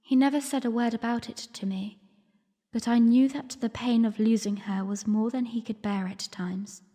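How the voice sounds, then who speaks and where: Reverberant, mellow young princess played by a woman. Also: mid-range voice, lightly acted. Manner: formal, reflective storytelling. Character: young princess, earnest, weary, quietly defiant narrator. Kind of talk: first-person audio drama narration. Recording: long reverb, music underneath. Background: music.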